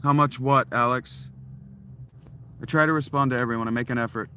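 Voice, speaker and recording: A man's voice: mid-range voice, fairly even intonation, brisk, sharp tone, a little hiss or hum. A man streaming live from inside a tent, answering chat messages with blunt, sarcastic replies. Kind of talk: casual livestream chat.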